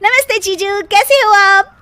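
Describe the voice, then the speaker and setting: A woman voicing a mischievous, excitable young prankster sister in a cartoon moral story. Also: extremely high pitch, a little slow, no echo, pitched above the performer's own voice, full character voice. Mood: playful. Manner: excitable, squealing, teasing.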